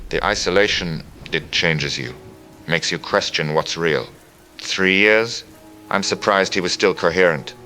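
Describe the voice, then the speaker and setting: A man playing a somber, analytical survivor radio operator, measured and bleak, in an audio drama. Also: low-pitched, bright, forceful tone, soft background music, rough recording. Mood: haunted. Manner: measured, matter-of-fact, bleak. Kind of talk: post-apocalyptic audio drama acting.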